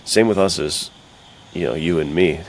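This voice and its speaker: A man's voice: sits low, moderate pace, a little hiss or hum. A man talking as a podcast co-host in an earnest, informal, conversational way. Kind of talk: casual podcast chat.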